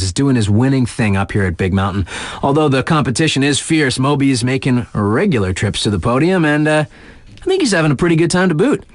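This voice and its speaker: An actor playing a laid-back, hip radio DJ in a video game, covering snowboard competitions with breezy, slangy commentary and chatty, hesitant asides. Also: male voice, mid-range voice, dry recording, clean studio sound, natural voice. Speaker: radio DJ announcer. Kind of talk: scripted radio sports commentary with casual ad-libbed asides.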